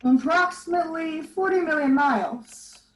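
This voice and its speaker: A woman's voice: very high voice, normal speed. A young woman playing a dignified, skeptical male astronomy professor with a formal, assured manner in a radio play. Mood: assured, dismissive. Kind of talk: scripted radio play acting. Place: living room, video call. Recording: roomy video call sound.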